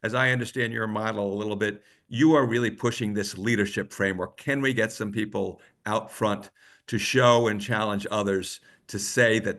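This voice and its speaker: Deep-voiced man, halting webinar moderator. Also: creaky, fairly bright tone. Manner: halting, repeats words and stammers.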